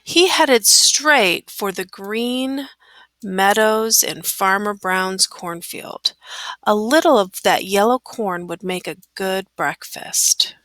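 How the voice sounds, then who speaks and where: A woman reading a children's story aloud with careful, expressive phrasing. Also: medium pitch, takes it slowly, no echo. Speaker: storybook reader.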